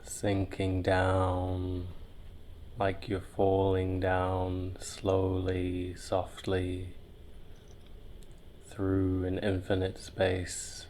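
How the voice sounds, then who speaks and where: A man leading a guided visualization in a soothing, meditative way, leaving long pauses between short phrases. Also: sits low, monotone, darkish tone, slight background noise.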